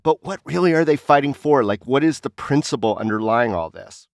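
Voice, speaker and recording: A man's voice: medium pitch, lively intonation, a little fast, creaky, no room sound, clean studio sound. A man co-hosting a casual panel discussion, speaking in an opinionated way and posing rhetorical questions. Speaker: podcast co-host.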